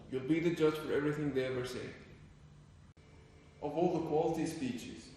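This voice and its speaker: Young man, mid-pitched, hosts a documentary, formal and wordy; booming hall with faint noise. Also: darkish tone. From Algeria. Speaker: documentary host and interviewer. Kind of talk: documentary narration and interview.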